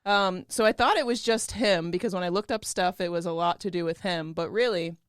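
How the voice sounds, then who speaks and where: A woman co-hosts a casual podcast, chatty and quick to laugh as she tells a story. Her voice sits low and comes across smooth and clean. The sound is dry and close. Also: no echo. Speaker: podcast co-host.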